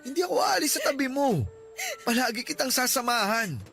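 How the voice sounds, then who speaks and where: A pleading, devoted young boyfriend. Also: male voice, extremely high pitch, sing-song, a little fast, creaky, sharp tone, soft background music, full character voice. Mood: desperate pleading. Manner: earnest, comforting, pleading. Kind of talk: radio drama acting.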